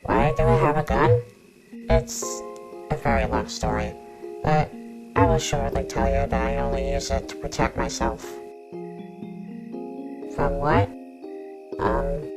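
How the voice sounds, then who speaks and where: A low-voiced, guarded young outlaw played by a woman speaks in a curt, brooding way with a protective streak. It is an ASMR roleplay performance, with music playing underneath. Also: low-quality recording. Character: tough, guarded young male outlaw gunman.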